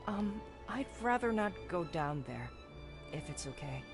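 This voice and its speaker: A man's voice: sits high, big pitch swings, unhurried, voice pushed up, full character voice. A man voicing a timid, hesitant young male visual novel character. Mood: nervous. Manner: hesitant, stammering. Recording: music playing behind the voice.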